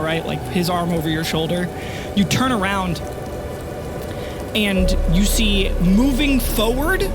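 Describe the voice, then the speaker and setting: A man with a high voice runs a tabletop game as its narrator. He builds the scene haltingly as he goes. Loud music and rain sound play under his voice. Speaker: game master. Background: music and rain ambience.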